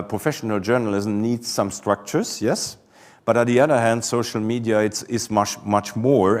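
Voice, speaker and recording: Hesitant Belgian man, low voice. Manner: hesitant, repeats words, then animated. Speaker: conference presenter. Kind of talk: conference Q&A answers.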